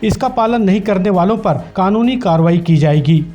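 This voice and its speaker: A man reading a scripted news report in a formal, measured reporter's delivery. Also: high voice, expressive, muffled recording, music in the background.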